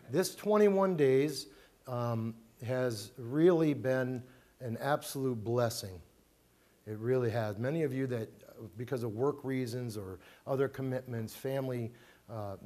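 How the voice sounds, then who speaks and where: A man's voice: mid-range voice, a little mellow. New pastor guy in his 50s, rambly family talk from the front of a small church, lots of uhs and repeats. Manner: conversational, many fillers and restarts, emphatic repetitions. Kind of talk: informal sermon, family talk to congregation. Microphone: handheld mic, far camera.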